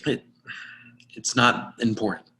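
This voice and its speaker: A man playing an awkward, lovestruck young man in a play reading, speaking haltingly and hesitantly. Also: medium pitch, little pitch movement, bright, forceful tone, rough recording. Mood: nervous, evasive. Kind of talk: readers theatre play reading.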